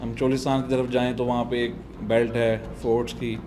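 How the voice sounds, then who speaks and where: A man's voice: medium pitch, little pitch movement, brisk. Southern Punjab Pakistani man, music behind. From Multan, South Punjab, Pakistan. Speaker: podcast guest, doctor and motorbike traveller. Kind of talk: conversational interview storytelling.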